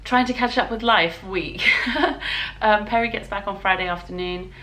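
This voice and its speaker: A woman with a British accent and a hoarse voice, chatting casually and ramblingly as a knitting vlogger. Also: medium pitch, moderate pace, fairly bright tone. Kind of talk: casual vlog chat.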